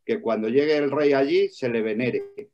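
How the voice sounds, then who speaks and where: A man's voice: medium pitch, animated, moderate pace, darkish tone. A man in a heated debate, arguing hard, repeating his points and talking over the others. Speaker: debate participant. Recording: dull lo-fi recording.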